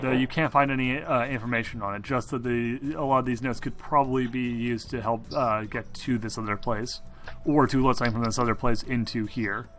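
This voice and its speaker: A man's voice: mid-range voice, fairly even intonation, a little fast, a bit bright. A man narrating a tabletop game as game master, informal and a little hesitant as he goes. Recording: music behind the voice.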